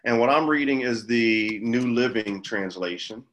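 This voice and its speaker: A man speaking as a pastor, giving an informal Bible teaching in a measured, halting way with pauses mid-phrase. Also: mid-range voice, fairly bright tone, low-quality recording. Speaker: pastor leading a church group.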